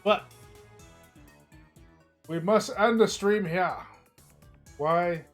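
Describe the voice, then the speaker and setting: A hoarse man streaming, reading a visual novel aloud in a casual, chatty way with small slips. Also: sits high, drawn-out words, soft background music. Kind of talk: narrating a visual novel live.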